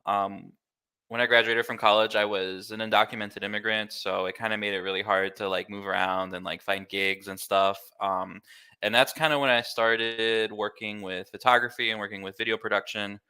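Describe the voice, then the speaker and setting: An American man in his thirties with a slightly low, bright voice explains things as a guest in a casual interview. His delivery is clear, informal and easygoing. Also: steady tone, moderate pace. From Miami, United States. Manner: easygoing, informal, filler-heavy with "like" and "kinda". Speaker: interview guest, glitch artist.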